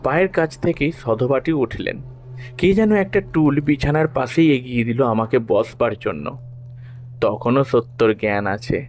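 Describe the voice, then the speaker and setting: A man narrating a literary story in the first person, in an expressive, deliberate, dramatic way. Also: mid-range voice. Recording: music behind the voice.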